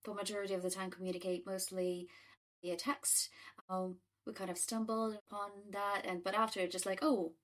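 A woman's voice: mid-range voice, little pitch movement, normal speed, fairly bright tone. A woman hosting a podcast interview, chatting casually with fillers and restarts. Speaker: podcast host.

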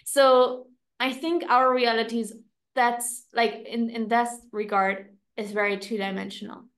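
A woman with a German accent, a non-native English speaker, co-hosting a podcast in a casual, reflective chat, often pausing and restarting as she searches for words. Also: fairly high pitch, flat delivery, fairly bright tone. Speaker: podcast co-host.

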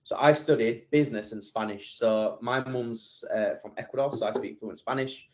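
A man's voice: medium pitch, moderate pace. Chatty young man. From England. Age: 20s. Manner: chatty, rambling with many fillers and restarts. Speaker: podcast guest, entrepreneur. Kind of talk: casual interview chat.

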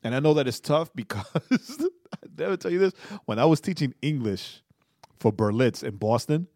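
A man's voice: medium pitch, no room sound, studio quality. A man co-hosting a podcast, talking casually and emphatically, often asking "right?". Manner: emphatic, excitable, tag questions and quick laughs. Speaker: podcast co-host. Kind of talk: casual chat about a TV episode.

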